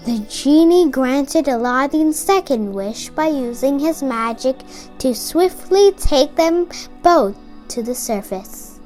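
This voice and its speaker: A woman's voice: high-pitched, lively intonation, takes it slowly, darkish tone, soft background music. A woman reading a children's story aloud from a script. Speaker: storybook reader.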